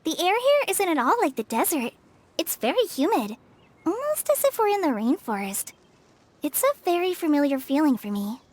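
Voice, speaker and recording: Game role: very high, lively voice for a polite, earnest young girl, a bit hesitant. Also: moderate pace, a little hiss or hum. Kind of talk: game cutscene voice acting.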